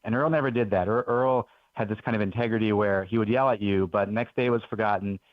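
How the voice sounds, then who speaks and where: A man's voice: on the low side, steady tone, fast talker, no echo. A man in his forties, from Belgium, telling stories as a guest author in an earnest, enthusiastic way on a radio interview. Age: 40s. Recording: dull lo-fi radio audio.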